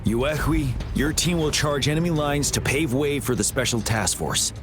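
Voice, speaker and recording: An actor playing a composed, resolute general in a video game, speaking in a measured, commanding way over music. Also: male voice, a little high, close to an everyday voice. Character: composed, resolute male general briefing his troops. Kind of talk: video game cutscene acting. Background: background music.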